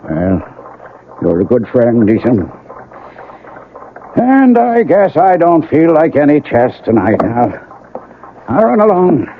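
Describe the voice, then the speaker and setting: An actor playing a weary, aging doctor in a 1980s radio drama, folksy and musing in manner. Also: male voice, a little high, wildly swooping pitch, slow pace, long pauses, exaggerated voice. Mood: concerned. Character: weary, troubled small-town doctor, aging male friend. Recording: tinny radio recording with faint noise.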